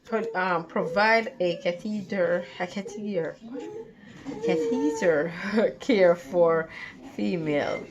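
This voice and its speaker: A woman tutor reading study material aloud in a careful, halting way, with stumbles and repeated words. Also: lowish voice, big pitch swings, muffled recording. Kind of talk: read-along lesson from a textbook.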